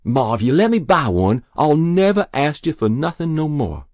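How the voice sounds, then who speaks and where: Storybook farm boy, teens, played by a man: rural Southern drawl, raised pitch, earnest, insistent, pleading. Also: lively intonation, normal speed, a little mellow, no echo, studio quality. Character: eager, pleading teenage farm boy longing for a gun.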